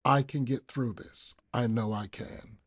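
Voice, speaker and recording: A man reading a daily reflection aloud for a recovery podcast, with measured, deliberate phrasing. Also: medium pitch, fairly even intonation. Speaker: guest reader on a recovery podcast.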